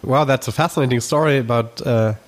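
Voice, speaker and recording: A man hosting a podcast interview, asking his guest questions in a friendly, measured way. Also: medium pitch. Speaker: podcast host.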